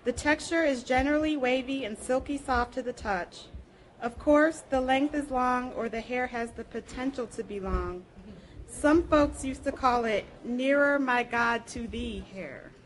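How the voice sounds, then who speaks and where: A woman's voice: sits high, unhurried, slight background noise, low-quality recording. A woman reading short tales and definitions aloud to an audience at a live library program. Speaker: reader at a public library program.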